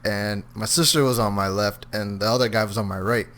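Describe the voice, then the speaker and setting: A man's voice: on the low side, brisk. Slangy young man telling stories. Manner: animated storyteller, slangy, laughs a lot. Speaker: podcast co-host. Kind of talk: casual chat.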